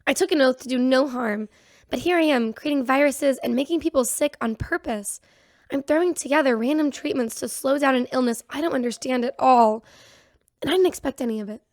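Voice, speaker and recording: An actress playing a self-doubting ship's doctor in an audio drama, confiding in a conversational, flustered way. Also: female voice, high-pitched, fairly quick, no echo, clean studio sound, close to an everyday voice. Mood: troubled, self-doubting. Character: self-doubting, rattled young-sounding female ship's doctor. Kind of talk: audio drama acting as an interviewee.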